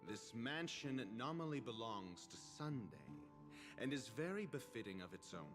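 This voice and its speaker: An actor playing a haughty, learned doctor in a video game, pompous and formal, with measured, condescending delivery. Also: male voice, mid-range voice, sing-song, music in the background, lightly acted. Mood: aloof.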